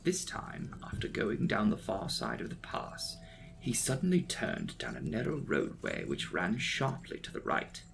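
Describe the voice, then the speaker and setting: A man's voice: fairly low pitch, gravelly, a little hiss or hum, poor audio. A man giving a dramatic audiobook reading of a gothic novel. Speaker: audiobook reader. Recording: music behind the voice. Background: background music.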